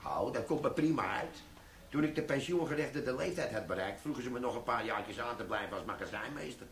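An actor playing a sly, boastful older army sergeant in a radio play, stammering now and then. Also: male voice, mid-range voice, lively intonation, fairly quick, fairly bright tone, reverberant. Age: 60s.

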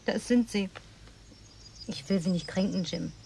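A woman's voice: medium pitch, measured pace, gravelly, dark tone, slight background noise. An actress playing a worldly, wry, indulgent city woman in a German radio play, with a teasing, cool, conversational manner. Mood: playful, relaxed.

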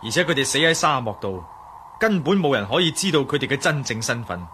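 Bright, animated, commanding adventurer hero. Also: male voice, medium pitch, brisk. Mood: grave. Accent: Cantonese, Hong Kong. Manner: urgent, commanding. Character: alert, suspicious adventurer hero, decisive and commanding. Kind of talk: radio drama acting. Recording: faint background noise.

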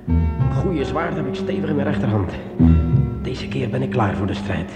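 An actor playing a jittery swordsman in a radio drama, talking to himself in halting, repeated phrases. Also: medium pitch, very animated, natural voice. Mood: nervous, uneasy. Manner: agitated self-talk, halting and repeating words. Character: jittery man with a sword alone in a dark cellar, muttering to himself. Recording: echoey, with loud music behind.

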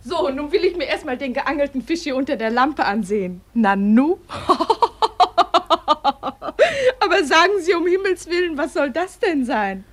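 A woman's voice: sits high, expressive, a little fast, few pauses. An actress in a radio play as a kind young woman, coaxing, playful and insistent. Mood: amused. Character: kind, teasing young woman, lively and forthright. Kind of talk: German radio play acting.

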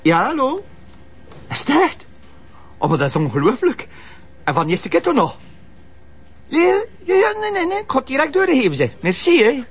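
A man playing a flustered, grumbling police detective in a crime drama, with a husky voice and a Flemish accent. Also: very high voice, wildly swooping pitch, normal speed, long pauses, a bit bright, lo-fi sound, heavily acted. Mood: excited. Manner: flustered, exclaiming.

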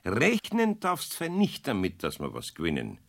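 A man's voice: on the low side, huge pitch swings, normal speed, creaky, exaggerated voice. A man playing a good-natured, sceptical master carpenter in a radio play, with a Bavarian accent and an easygoing, indulgent grumble.

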